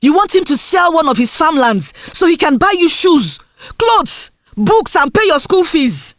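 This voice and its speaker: A woman storyteller voicing a harsh village mother, scolding and dismissive, full of sharp rhetorical questions in a folktale. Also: very high voice, sing-song, measured pace, no room sound, clean studio sound, pitched above the performer's own voice, heavily acted. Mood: angry.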